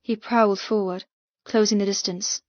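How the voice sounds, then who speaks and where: A woman's voice: medium pitch, fairly even intonation, normal speed, dry recording, highs cut off. A woman narrating an audiobook novel in the first person, with an intense, emotive, dramatic delivery.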